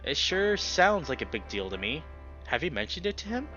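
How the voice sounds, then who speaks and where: Man voicing a bright, teasing young animal woman. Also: fairly high pitch, big pitch swings, normal speed, lo-fi sound, soft background music, voice pushed up. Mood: concerned. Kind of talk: visual novel character reading.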